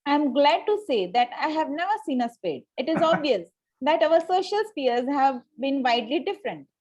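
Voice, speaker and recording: Woman playing a high-pitched haughty young Victorian lady. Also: expressive, moderate pace, darkish tone, low-quality recording. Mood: icily polite. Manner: formal, stumbles over lines. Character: haughty young upper-class Victorian lady, coolly polite. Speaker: table-read participant. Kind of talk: amateur reading of a Victorian comedy play.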